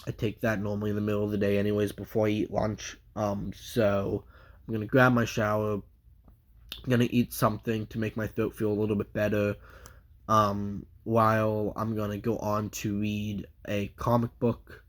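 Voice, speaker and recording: A man's voice: on the low side, completely flat delivery, moderate pace. A man with a stuffy nose from a cold, giving a casual, rambling comic book review full of fillers and restarts.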